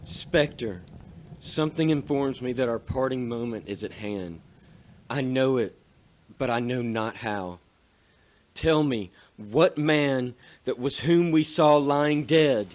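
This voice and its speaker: A man's voice: medium pitch, slow, lightly acted. An actor playing a solemn, repentant man addressing a spirit theatrically in a radio play. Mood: solemn, anxious.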